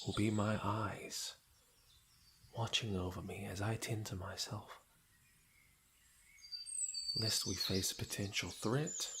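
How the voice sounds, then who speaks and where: Low, creaky, slow-talking teasing lord played by a man. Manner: playful, breathy murmurs, chuckling, teasing. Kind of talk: intimate ASMR fantasy audio roleplay acting. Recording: clear background noise with birdsong effects. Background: birdsong and outdoor nature sound effects.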